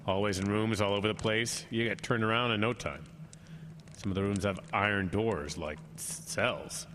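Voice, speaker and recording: Guy doing grave suspicious dude in audio drama, deep-ish voice, noisy atmosphere behind. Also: fairly even intonation, normal speed, close to an everyday voice. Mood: grave, unsettled. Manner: probing, serious. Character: grave, suspicious man confronting a companion. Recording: radio drama with storm ambience noise.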